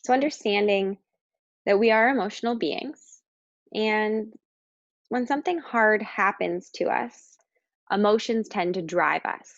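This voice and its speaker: A woman leading a guided meditation, speaking calmly and slowly with pauses as she gives instructions. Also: medium pitch, no room sound, dull audio. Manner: calm, slow, instructing with pauses.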